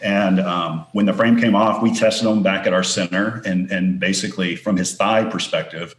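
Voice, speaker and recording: A man from West Texas with a West Texas accent, a physical therapist explaining things as a guest in a casual podcast interview, relaxed with many restarts. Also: sits low, normal speed, reverberant.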